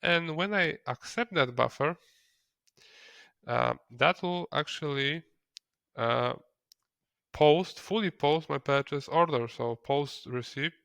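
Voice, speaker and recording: A non-native English-speaking man narrating a software tutorial step by step, in a hesitant way. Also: a little high, takes it slowly, vocal fry, pauses often, no room sound. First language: not English. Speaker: software trainer.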